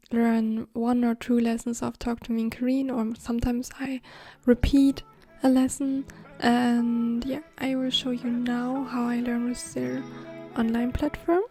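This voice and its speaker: A woman's voice: a little high, mellow. A woman with a German accent, a native German speaker, explaining her study routine in a casual, hesitant way with many fillers. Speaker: language learner vlogger.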